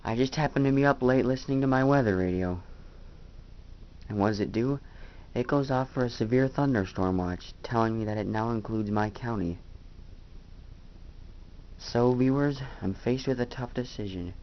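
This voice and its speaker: A man's voice: mid-range voice, normal speed, a little hiss or hum. A tired man chatting casually to viewers as he narrates his own amateur video. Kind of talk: casual vlog chat. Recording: dull lo-fi home recording.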